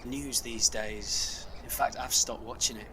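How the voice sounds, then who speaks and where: A man's voice: mid-range voice, steady tone, unhurried, pushed and bright, slight background noise, rough recording. An actor playing a chatty, neighbourly man swapping local gossip conversationally in an audio drama. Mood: chatty, concerned.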